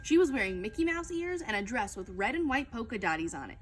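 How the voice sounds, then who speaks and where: A woman reading a children's book aloud as a storytime reader, in an expressive, emphatic storytelling manner. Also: on the high side, fast talker, soft background music.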